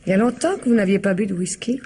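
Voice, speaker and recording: A woman's voice: mid-range voice, rapid-fire, slight background noise, close to an everyday voice. A woman playing a cool, guarded lady of the house. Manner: calm, dry, reserved. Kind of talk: vintage radio drama acting.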